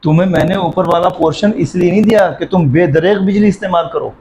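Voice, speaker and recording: A man's voice: high voice, a little mellow. A man playing a middle-aged elder brother and father in an amateur moral drama, stern and curt. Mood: stern, annoyed. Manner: curt, scolding. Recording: roomy echo, dull sound, faint noise.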